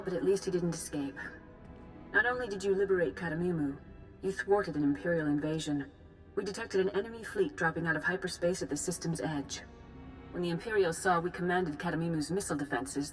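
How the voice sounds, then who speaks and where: An actress playing a calm, grave senior Jedi leader giving formal, measured orders in a video game. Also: female voice, on the low side, faint music behind, close to an everyday voice, low-quality recording. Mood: composed, satisfied. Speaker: commander giving a mission briefing. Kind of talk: video game cutscene acting.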